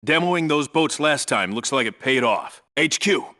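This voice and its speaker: An actor voicing a no-nonsense Navy SEAL team leader in a military video game, giving clipped, businesslike, authoritative reports and squad orders. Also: male voice, a little high, animated, normal speed, sharp tone. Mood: focused, businesslike.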